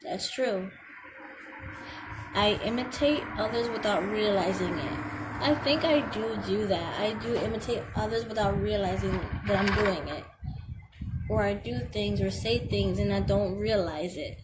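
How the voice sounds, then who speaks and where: A young woman with a girlish voice reads a checklist aloud and comments on it hesitantly, stumbling over words and filling pauses. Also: mid-range voice. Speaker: vlogger. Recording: home video with clear background noise.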